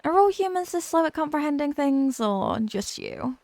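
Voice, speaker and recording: A woman's voice: sits high, very animated, normal speed, darkish tone, no echo. A woman playing an obsessive, haughty young mermaid princess in a roleplay ASMR drama, sweetly smug and condescending. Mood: haughty, amused.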